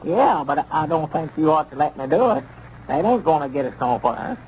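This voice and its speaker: An actor playing a fretful, quarrelsome old country storekeeper in a 1930s radio comedy, in a whiny drawl. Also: male voice, a little high, very animated, moderate pace, a little mellow. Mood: blustering. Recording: muffled 1930s radio recording with faint hiss.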